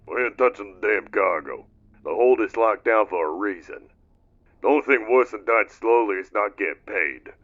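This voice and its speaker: A man playing a gruff, sarcastic, foul-mouthed older space freighter crewman in a fan-dubbed video game. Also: lowish voice, expressive, moderate pace, a bit bright, muffled recording. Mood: sardonic, gruff. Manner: gruff, sardonic.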